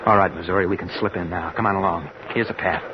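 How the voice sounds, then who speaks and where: An actor playing a cocky, sly American escaped convict in a vintage radio drama, bossy and sardonic. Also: male voice, low-pitched, quick pace, lightly acted. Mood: urgent, bossy. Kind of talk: 1950s radio drama acting. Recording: muffled, very noisy vintage radio recording.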